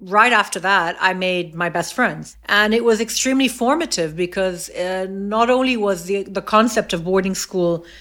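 Middle-aged woman, podcast guest, reflective, halting with fillers. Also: medium pitch, fairly bright tone. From Egypt, raised in the US, Saudi Arabia and England. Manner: thoughtful, many fillers and restarts. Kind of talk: reflective interview conversation.

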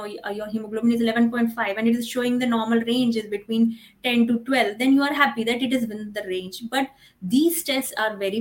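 Female medical expert for a podcast interview, Indian accent, slightly high voice, explains at length. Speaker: fertility doctor, podcast guest.

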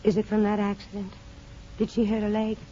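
An American woman playing an anxious mother longing for her child, earnest and halting, in 1950s radio drama style. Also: mid-range voice, monotone, mellow, close to an everyday voice. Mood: hesitant. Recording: muffled, noisy old radio broadcast.

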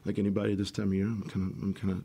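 A middle-aged man, a musician guest, talking casually in a rambling way, his voice worn and raspy at the end of a tour. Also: on the low side, monotone, rapid-fire, warm tone. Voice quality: gravelly. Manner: rambling, restarts often, swears casually. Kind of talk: casual interview chat.

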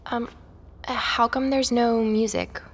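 An actress playing a curious, quietly persistent young woman visitor, speaking tentatively in naturalistic audio drama dialogue. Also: fairly high pitch, little pitch movement, measured pace. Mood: tentative, insistent. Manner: tentative, inquisitive. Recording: long hall-like reverb.

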